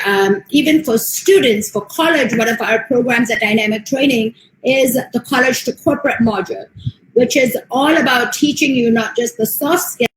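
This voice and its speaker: A woman's voice: a little high, reverberant, a little hiss or hum, poor audio. An American woman, a motivational speaker, giving an earnest online presentation with frequent ums. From United States. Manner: steady, earnest delivery with frequent um fillers.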